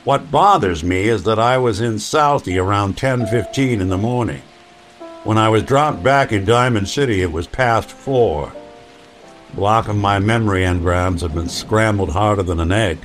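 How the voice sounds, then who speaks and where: An actor playing a weary, wry, hard-boiled synthetic detective with a noir delivery in an old-time radio drama. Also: male voice, fairly low pitch, moderate pace, soft background music, natural voice. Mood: brooding, wry.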